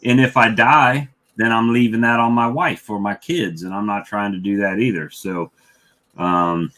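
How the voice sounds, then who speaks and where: A man's voice: on the low side, steady tone, normal speed, pushed and bright. A man in his fifties talking casually about his own views on a livestream with fellow hobbyists. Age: 50s. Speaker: beekeeper livestream host.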